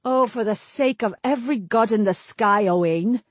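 A woman's voice: mid-range voice, unhurried, a little mellow, breathy edge, dry recording. Woman voicing a wry weary bard. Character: wry weary male bard, middle-aged.

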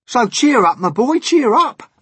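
Cocky show-off centipede for a kids story, really high and loud, teasing everyone. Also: male voice, sing-song, unhurried, slightly rough, no echo, full character voice. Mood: brash, cheery. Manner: proud, exclaiming, teasing. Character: boastful, cheeky male centipede, loud and brash.

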